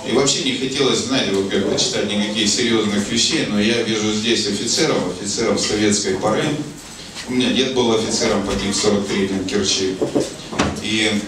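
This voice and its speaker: Middle aged guy on a club stage w a mic, low voice, measured, super echoey. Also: steady tone, distant mic, crowd noise. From Soviet Union. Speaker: poet and performer at a bard club evening. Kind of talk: stage talk and poetry recitation. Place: small club stage, indoors. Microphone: handheld stage microphone. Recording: echoey club PA from afar, noisy.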